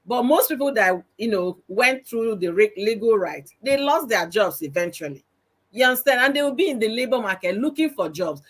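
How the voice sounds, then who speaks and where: A woman's voice: a little high, lively intonation, normal speed, breathy edge. A woman with a Nigerian accent hosting a casual panel chat, talking in a preachy way and checking again and again that listeners understand. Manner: preachy, asks "you understand?" often. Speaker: host.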